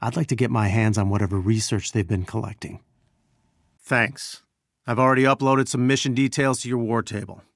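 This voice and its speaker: Actor for male scientist rebel leader in a game: earnest, grave, measured, mid-pitched, cleanly dry recording. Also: fairly even intonation, dry recording, natural voice. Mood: grave, focused. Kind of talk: video game voice acting.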